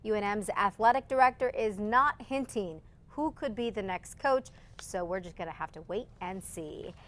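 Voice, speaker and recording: A woman news anchor reading morning headlines briskly, with light friendly banter and a slightly scratchy voice. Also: medium pitch, animated, fairly bright tone. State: scratchy voice from allergies.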